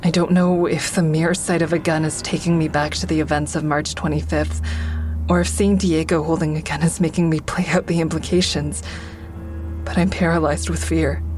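Casting: actress for guarded female trauma survivor, lower register, introspective audio-drama narrator, music underneath. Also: a little mellow, close to an everyday voice. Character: Haven, traumatized woman survivor narrating, fearful, guarded, hardening. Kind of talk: first-person narration and dialogue in audio drama.

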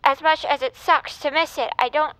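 Downcast, higher-pitched teenage girl played by a woman. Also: fairly even intonation, normal speed, pushed and bright, no room sound, faint music behind. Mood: apologetic, reluctant. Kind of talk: naturalistic scripted audio drama acting.

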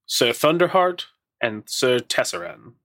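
A man playing a gracious, fatherly elf king in a tabletop game, formal and measured in his speech. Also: mid-range voice, very animated, fairly bright tone. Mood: solemn, gracious. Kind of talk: tabletop roleplay acting.

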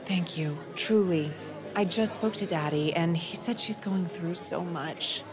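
An actress playing a two-faced president's daughter in an audio comedy, gushing with false sweetness. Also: female voice, on the low side, unhurried, music in the background, close to an everyday voice. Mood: sweetly calculating.

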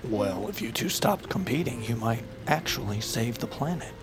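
An actor playing a boisterous, showy survivalist turned internet breathing guru in an audio drama. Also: male voice, mid-range voice, animated, moderate pace, close to an everyday voice. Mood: wry. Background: music.

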